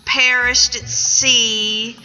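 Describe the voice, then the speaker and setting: A woman's voice: a little high, little pitch movement, extremely slow, sharp tone, clear, resonant tone, exaggerated voice. An actress playing a folksy, skeptical young rookie policewoman with a Southern US accent in a comic radio play. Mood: dismissive. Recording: echoey event hall, music behind.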